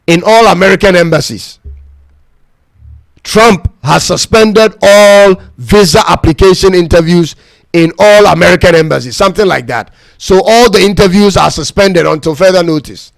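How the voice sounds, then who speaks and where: A man's voice: sits high, creaky, no echo. A man hosting a casual live-stream talk show, musing and chatting to his audience. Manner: chatty, reflective, addresses "ladies and gentlemen". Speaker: host.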